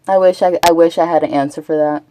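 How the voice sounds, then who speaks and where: Woman streamer, low voice, dry close sound, rambling casual chatter to her viewers. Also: dark tone, no echo. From Texas. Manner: chatty, rambling, answers chat. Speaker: Twitch streamer. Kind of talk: casual live-stream chat.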